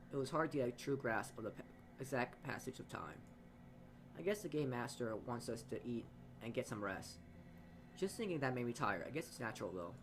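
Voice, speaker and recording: A man's voice: medium pitch, background noise. A man reading a visual novel's narration aloud as a let's-player, often stumbling and rereading phrases.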